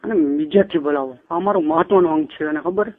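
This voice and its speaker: A man's voice: fairly high pitch, brisk. A man with a Kathiawari Gujarati accent, chatting casually and privately on the phone. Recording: tinny phone call recording.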